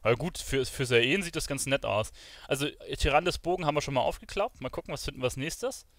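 A man's voice: medium pitch, lively intonation, quick pace, a bit bright, no echo. A man giving casual let's play commentary, musing aloud in slangy language. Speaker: gaming streamer playing and commenting.

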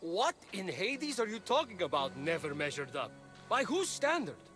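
An actor playing a gossipy, flippant young messenger god in a video game, catty and breezy. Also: male voice, sits high, sing-song, moderate pace, music in the background. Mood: incredulous. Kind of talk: video game cutscene acting.

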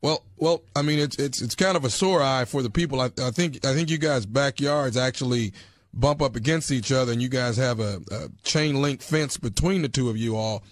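A man playing a stern, bureaucratic neighborhood enforcement official with a halting, insistent manner on a prank call. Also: mid-range voice, dry recording, clean studio sound, natural voice. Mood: officious, measured. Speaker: prank caller.

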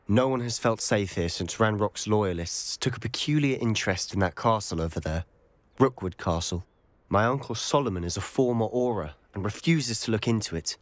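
A man's voice: lowish voice, no echo, close to an everyday voice. A young man with a British accent playing an earnest, determined teenage schoolboy wizard in a video game, telling things intently. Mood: troubled, determined. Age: young adult. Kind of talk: video game character dialogue.

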